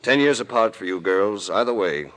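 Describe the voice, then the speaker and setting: Very deep-voiced, world-weary American detective. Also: male voice, animated, normal speed, fairly bright tone. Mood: weary accusing. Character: shrewd male investigator, calm, world-weary, narrating his case. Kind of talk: 1940s-50s radio drama acting. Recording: vintage radio recording.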